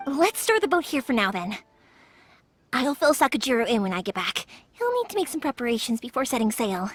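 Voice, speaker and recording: An actress playing a cheerful young woman who makes fireworks, bubbly and quick to laugh. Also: fairly high pitch, big pitch swings, husky, no echo, soft background music.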